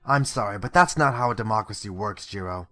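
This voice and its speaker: A man's voice: lowish voice, fairly quick, sharp tone. A man playing a restrained, by-the-book police detective in a radio drama, speaking firmly and with control. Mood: exasperated. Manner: firm, controlled, reproachful. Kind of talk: amateur radio drama acting. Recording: roomy, slightly distant, music underneath.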